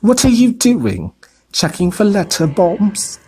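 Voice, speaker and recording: A woman voicing a blustering, bad-tempered middle-aged uncle in a storybook reading, gruff and barking. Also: mid-range voice, wildly swooping pitch, takes it slowly, darkish tone, voice pushed up. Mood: angry barking. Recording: echoey room.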